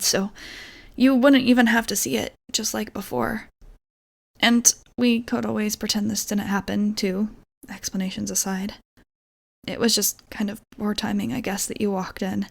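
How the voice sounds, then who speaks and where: A woman playing a shy, apologetic vampire roommate in an ASMR roleplay, speaking hesitantly and gently, often dropping toward a whisper. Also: mid-range voice, gravelly. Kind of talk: ASMR roleplay, softly acted monologue.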